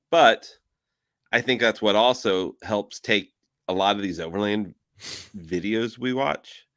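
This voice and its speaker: Man, host, lowish voice, bright and forceful, joking, energetic, repeats himself. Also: no echo, clean studio sound. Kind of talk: casual podcast chat.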